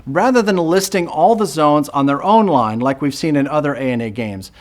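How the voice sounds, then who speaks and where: A man's voice: a little high, animated, moderate pace. A man hosting a board game channel, walking through the rules in a scripted, upbeat tutorial with jokey, self-deprecating asides. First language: English. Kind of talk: scripted how-to-play tutorial.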